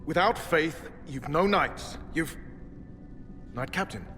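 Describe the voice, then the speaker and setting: Earnest young knight, noisy backdrop. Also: male voice, a little high, animated, slow, sharp tone, full character voice. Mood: urgent. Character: earnest young male templar knight, dutiful and troubled. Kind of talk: video game dialogue acting. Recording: clear background ambient noise.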